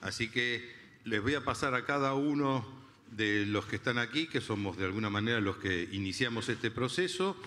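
Older man, bright forceful voice, conference stage, reverberant hall. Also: medium pitch, animated, normal speed. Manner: informal, uses filler "este". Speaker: association representative announcing on stage. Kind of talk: public announcement speech. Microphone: handheld microphone. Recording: reverberant hall with faint ambience.

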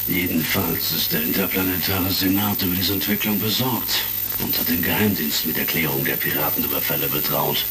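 Very deep-voiced intelligence chief. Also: male voice, fairly quick. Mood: concerned, official. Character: worried, authoritative male intelligence chief, measured. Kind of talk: German sci-fi audio drama acting. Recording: distant, noisy retro crackle.

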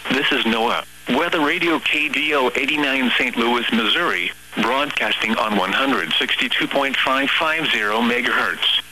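A synthetic male text-to-speech voice reading weather radio forecasts and observations in a measured, formal, impersonal way. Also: mid-range voice, pushed and bright, rough recording. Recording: weather radio broadcast with hum and static.

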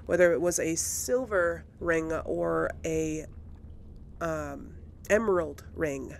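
Female gamer for casual playthrough commentary, slow and gravelly, thinking aloud, faint noise behind. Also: low voice. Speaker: gamer, series host. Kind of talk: casual let's play commentary.

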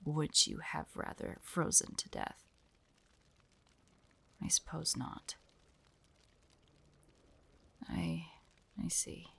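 A woman voicing a guarded, proud young hunter in an audio roleplay, terse and prickly, often dropping into hushed, breathy asides. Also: low voice, slow, full character voice. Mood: suspicious, indignant. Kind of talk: dramatic audio roleplay, addressed to an unheard listener.

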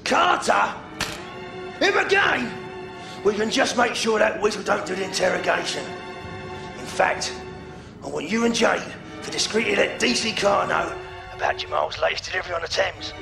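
An actor playing an irate, scheming crime boss in a game, gravelly and giving orders. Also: male voice, high-pitched, animated, normal speed, reverberant, music in the background, exaggerated voice.

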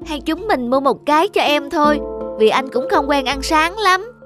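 A woman voicing a kind, protective older boy in a cartoon, speaking gently and earnestly. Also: high voice, lively intonation, normal speed, over music. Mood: earnest, concerned. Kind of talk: cartoon voice acting.

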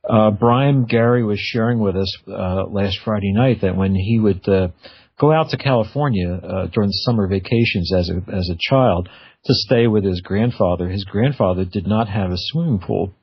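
A man's voice: lowish voice, moderate pace, slightly rough. A man hosting a casual radio interview in an informal, conversational manner. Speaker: radio host. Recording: narrowband phone-like radio sound.

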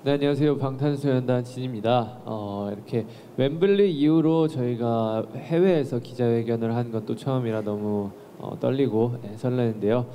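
A young man speaks politely into a handheld mic at a press conference, grateful and steady with small fillers. His voice is warm and mellow at a middle pitch. Faint hiss sits behind him. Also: normal speed, hardly any pauses.

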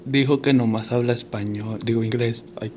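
A man's voice: mid-range voice, fairly even intonation, quick pace, darkish tone. A man chatting casually and jokingly in slang, switching languages, as host of a prank chat. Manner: joking, slangy, switches between English and Spanish. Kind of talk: casual prank chat over a walkie-talkie app. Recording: noisy amateur home recording.

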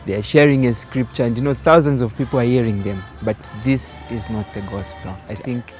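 A man's voice: mid-range voice, fairly quick, darkish tone, faint music behind. A man answering interview questions outdoors, hesitant and searching for words. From Zambia. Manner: hesitant, searching, many fillers. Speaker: theology student. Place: outdoors by a wall.

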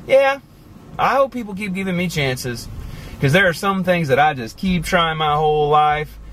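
A man in his forties talking casually to the camera from inside a car, animated and chatty, with many asides. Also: a little high, normal speed, slight background noise, poor audio. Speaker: vlogger. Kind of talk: casual motivational talk to camera. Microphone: camera on the dashboard.

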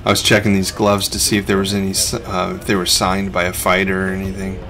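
A man's voice: sits low, completely flat delivery, fast talker, bright, forceful tone. A middle-aged man, a reseller who hosts a vlog, narrating over his footage in a laid-back, conversational way.

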